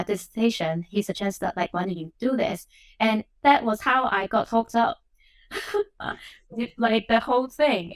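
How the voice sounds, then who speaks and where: A woman's voice: medium pitch, no echo, rough recording. A woman from Hong Kong, an assistant professor, answering interview questions in a reflective way with many "like" and "um" fillers. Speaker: assistant professor, podcast guest.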